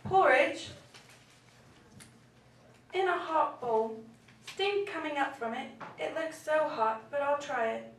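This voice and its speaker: A woman performs a curious, fussy little girl in a puppet show, with a high voice. The rhymes come out slowly and deliberately in a singsong. It is picked up from far off in a roomy space with faint noise. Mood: playful, puzzled. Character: Goldilocks, wandering young girl, fussy and curious.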